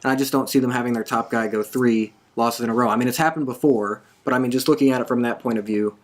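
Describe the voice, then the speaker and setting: A man's voice: medium pitch, steady tone, fast talker. A man hosting a podcast, giving predictions in a casual, conversational way as he thinks aloud. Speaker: podcast host. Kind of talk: casual wrestling prediction talk.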